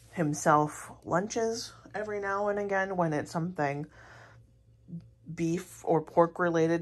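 Low-pitched young American woman vlogger. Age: young adult. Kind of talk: casual grocery haul vlog narration. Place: indoors at home, kitchen table. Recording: clear background noise, handheld home recording.

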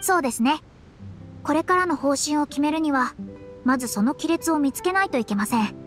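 A woman voicing a proud, composed young woman astrologer in a game, speaking politely and formally with quiet self-assurance. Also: high-pitched, a little fast, soft background music. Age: young adult. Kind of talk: game voice acting.

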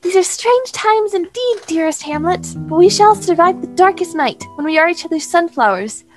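A woman's voice: very high-pitched, expressive, normal speed, soft background music. A woman playing a giddy, whimsical, flower-loving young noblewoman with playful archaic phrasing in an audio drama. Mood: devoted.

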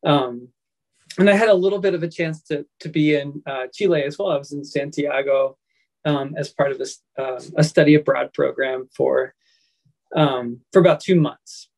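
Halting American man, slightly high voice. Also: vocal fry, a little mellow, poor audio. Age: 30s or 40s. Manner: halting, repeats words. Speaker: panelist, nurse. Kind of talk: casual recollection on a panel. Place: indoor room, video call. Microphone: laptop mic on video call.

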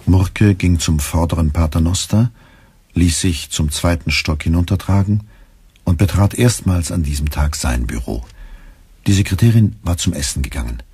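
Deep, very husky male narrator. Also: expressive, moderate pace, dark tone, no room sound. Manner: sober, matter-of-fact. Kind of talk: scripted radio play narration.

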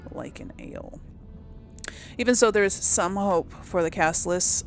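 A woman's voice: fairly low pitch, a little hiss or hum. A woman hosting a casual let's-play, reading lore entries aloud and thinking aloud with many ums.

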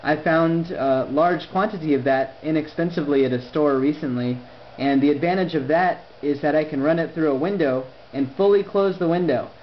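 A man making conversation as an amateur radio operator, phrasing things deliberately and articulately. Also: fairly high pitch. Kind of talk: conversational ham radio exchange. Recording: dull lo-fi sound, faint noise.

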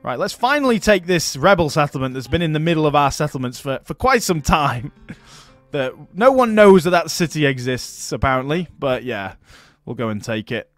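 Guy narrating his strategy game playthrough, bouncy animated voice, chuckles a lot, quiet game music under it. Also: on the high side, normal speed, no room sound. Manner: chatty, laughs often, talks to units as "my friend". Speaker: gaming YouTuber. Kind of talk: casual let's-play commentary.

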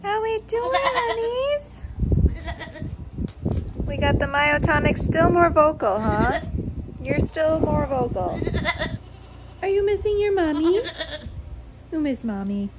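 Woman, farmer, cooing to goats, high voice, slow gentle pace, barn, phone camera. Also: lively intonation, heavy background noise. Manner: soft, cooing baby talk to goats. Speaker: farmer vlogger. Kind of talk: casual talk to animals. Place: indoor barn goat pen.

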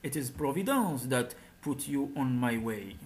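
A man's voice: mid-range voice, expressive, a little slow, voice pushed down, natural voice. A man voicing a poor fisherman hoping for money, read aloud from a storybook. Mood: hopeful.

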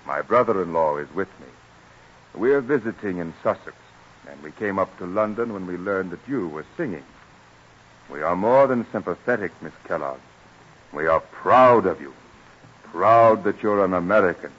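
An actor playing a kindly, courtly American gentleman poet in an old radio drama, speaking formally and with measured encouragement. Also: low voice, lively intonation, breathy edge, heavily acted. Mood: courtly, encouraging. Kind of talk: 1940s radio drama acting. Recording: muffled old radio recording.

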